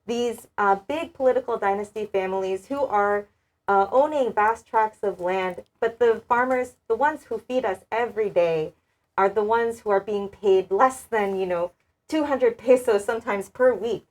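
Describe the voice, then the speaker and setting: A woman's voice: mid-range voice, normal speed. Earnest woman panelist. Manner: earnest and pointed, with rhetorical questions. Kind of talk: casual panel discussion.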